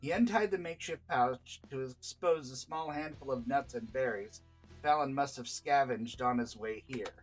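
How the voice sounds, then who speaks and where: A man's voice: mid-range voice, moderate pace, low-quality recording. A man narrating a written fantasy tabletop story aloud as the game's storyteller. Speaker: game storyteller reading aloud. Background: background music.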